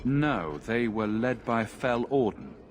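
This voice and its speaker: An actor playing a steady, dry-witted male adventurer hero in a game, firm and matter-of-fact. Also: mid-range voice, slow pace, slight background noise, close to an everyday voice.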